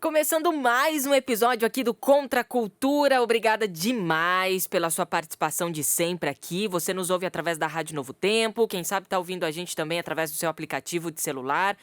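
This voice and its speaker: A woman's voice: lowish voice, a little fast, hardly any pauses, no echo. A woman co-hosting a radio podcast, chatting casually about the Bible and laughing often. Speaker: podcast co-host. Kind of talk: casual Bible study chat. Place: radio studio.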